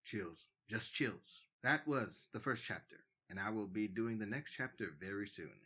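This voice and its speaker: A man hosts a fan-made audio drama in podcast style. His voice is fairly low yet forward and bright, with a husky, rough edge. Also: expressive, normal speed. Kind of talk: podcast sign-off.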